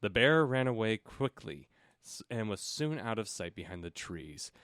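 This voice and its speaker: A man's voice: on the low side, very animated, moderate pace, fairly bright tone, no echo, clean studio sound. A man reading a storybook aloud as a comic co-host, breaking off for casual, irreverent remarks. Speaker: comic audiobook reader and co-host.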